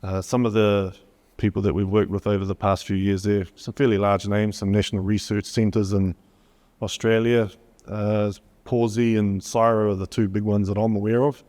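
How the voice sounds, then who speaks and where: A man's voice: fairly low pitch, level tone, moderate pace, darkish tone. Kiwi man presenting in a reverberant hall. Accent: New Zealand. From New Zealand. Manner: hesitant, many fillers, reads from slides. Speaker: conference presenter. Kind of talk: technical conference presentation. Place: conference hall.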